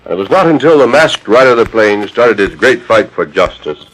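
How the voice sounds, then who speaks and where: Deep, dramatic American radio narrator. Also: male voice, sing-song, fairly quick, fairly bright tone, low-quality recording. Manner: grand, declamatory delivery. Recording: old 1940s radio transcription disc.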